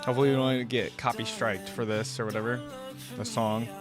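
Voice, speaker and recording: A man's voice: mid-range voice, little pitch movement, brisk, music in the background. A man giving casual, enthusiastic, slangy reaction commentary as the host of a video. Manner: informal, slangy, enthusiastic fan talk.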